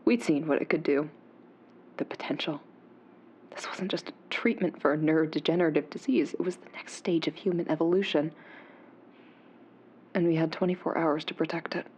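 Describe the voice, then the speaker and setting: A woman acting as a haunted, defiant neuroscientist confessing secrets in an audio drama, in a tense, hushed, breathy delivery. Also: low voice, moderate pace, pauses often, slight background noise, lightly acted. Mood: grave, confiding.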